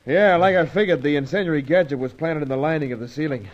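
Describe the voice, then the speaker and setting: An actor playing a burly, brooding American pilot with a cynical streak, talking in gruff, blunt slang, in a radio drama. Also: male voice, fairly high pitch, quick pace, natural voice. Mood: wry. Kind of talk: 1950s radio drama acting. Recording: dull lo-fi old radio recording.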